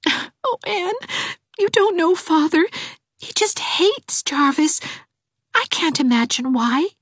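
A woman's voice: extremely high pitch, sing-song, slow, no echo, clean studio sound, voice pushed up, full character voice. A woman voicing a timid, tearful young woman, sweet and coaxing, in a pleading, tremulous manner. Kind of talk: audiobook character voice.

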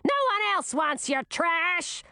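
A woman voicing a shrill, scornful old queen with a screechy, contemptuous way of scolding. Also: very animated, unhurried, bright, forceful tone, dry recording, studio quality, heavily acted.

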